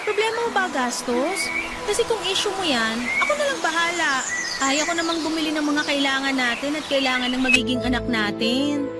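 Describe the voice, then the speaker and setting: Loud music plays under a very high female voice. A woman acts a hurt, clinging girlfriend expecting a child. She is emotional and insistent, in Filipino radio drama style. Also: a little fast, lightly acted. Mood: hurt, reasoning. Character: pregnant young girlfriend, hurt, pleading and clinging.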